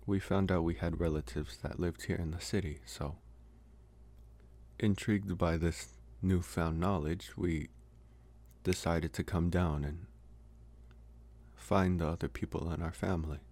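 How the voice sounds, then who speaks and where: A low-voiced, slow-talking young male vampire, acted by a man for ASMR roleplay. He is possessive but socially awkward, hesitating as he tries to sound intimidating and coming across as earnest. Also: steady tone, a little mellow. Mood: reflective, open.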